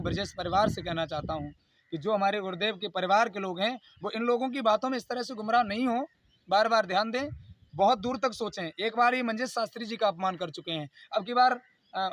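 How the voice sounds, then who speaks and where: High-pitched, insistent man. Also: fast, fairly bright tone, no echo. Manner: aggrieved, insistent, repetitive pleading. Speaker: YouTube channel host. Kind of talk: unscripted video statement responding to a dispute.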